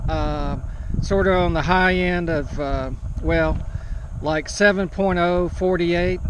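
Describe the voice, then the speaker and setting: Higher-pitched older man, slow and halting. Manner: slow, halting, hesitant delivery with throat clearing. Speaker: amateur radio operator vlogging. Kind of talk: casual unscripted field report. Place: open grassy field outdoors, under a canopy. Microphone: action camera at a short distance. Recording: outdoor camera audio with ambient noise.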